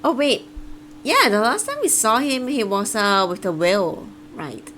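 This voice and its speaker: A woman's voice: on the high side, big pitch swings, unhurried, pushed and bright, a little hiss or hum. A woman chatting casually as she live-streams a game, close to her microphone.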